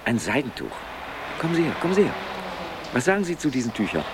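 A chatty, self-absorbed male office worker in a radio play. His gravelly voice swoops dramatically up and down, with clear street noise behind. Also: mid-range voice, big pitch swings, quick pace. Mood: chatty, fussing. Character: chatty, restless male colleague, fussing over gifts and prices. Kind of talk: 1970s German radio drama acting.

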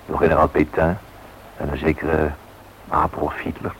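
A man narrating a radio drama in measured, clipped phrases with a wry, reflective manner. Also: extremely low pitch, monotone, fairly bright tone, a little hiss or hum, poor audio. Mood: reflective, solemn.

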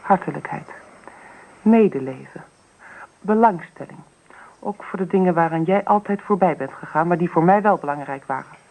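A firm, businesslike wife in radio drama. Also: female voice, on the low side, normal speed, vocal fry, darkish tone, low-quality recording. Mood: resolute. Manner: firm, matter-of-fact, direct. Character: assertive, businesslike wife and mother, cool and composed. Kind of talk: Dutch radio drama acting.

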